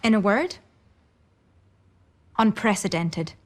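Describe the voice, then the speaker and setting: An actress playing a composed female motorsport pundit, giving measured, emphatic analysis in a documentary-style interview. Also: medium pitch, faint music behind. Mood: impressed. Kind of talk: acted documentary interview commentary.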